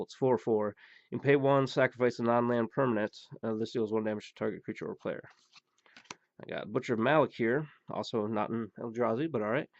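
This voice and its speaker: A man hosting a pack-opening show, rambling through the cards with many fillers and blunt remarks, his voice going hoarse. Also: medium pitch, no echo. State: losing his voice. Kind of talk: casual card-opening commentary. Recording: dull, dry recording.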